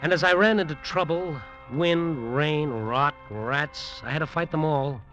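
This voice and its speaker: Brash, bright-voiced, hard-driving developer. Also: male voice, fairly high pitch, a little slow, dull audio. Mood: hard-bitten narrating. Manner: slangy, boastful, emphatic. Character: brash, driven hotel developer, cocky and short-tempered. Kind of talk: old-time radio drama acting with first-person narration. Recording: vintage radio drama, music behind.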